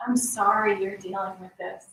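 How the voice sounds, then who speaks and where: A young actress in a staged reading playing a reassuring, candid friend. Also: female voice, medium pitch, little pitch movement, moderate pace, creaky, fairly bright tone. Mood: candid, reflective. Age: young adult. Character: reassuring young woman, candid about past fear. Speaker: actor. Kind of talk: staged play reading, naturalistic drama. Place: large echoey indoor room. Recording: very echoey hall, distant mic.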